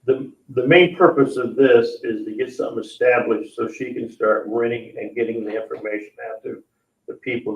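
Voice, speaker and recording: Pragmatic man, dull echoey recording. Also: on the low side. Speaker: meeting participant. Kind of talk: informal meeting discussion. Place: indoor public meeting room. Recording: dull, echoey room sound, distant mic.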